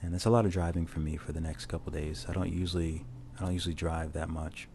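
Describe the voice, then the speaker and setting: A man narrating a drawing tutorial as a casual voice-over, talking in a relaxed, rambling way with many restarts, recorded on a lavalier mic plugged into a phone. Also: fast talker, slight background noise. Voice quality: low voice.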